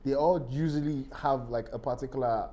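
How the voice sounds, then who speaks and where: Animated Ghanaian man, playful. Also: medium pitch, normal speed. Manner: animated, laughs easily, playful. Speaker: voice actor and audiobook narrator. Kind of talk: casual interview chat.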